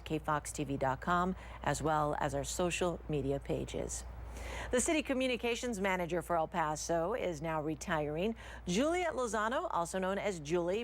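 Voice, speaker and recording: Lower-pitched American woman news anchor. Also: slight background noise. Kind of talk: scripted TV news reading.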